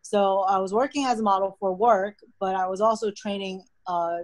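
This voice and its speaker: A young American woman whose first language is Mandarin, telling stories in a relaxed, chatty way as a podcast guest. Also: medium pitch, moderate pace, dry recording. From United States. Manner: relaxed, chatty storytelling with frequent "like". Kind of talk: casual interview conversation.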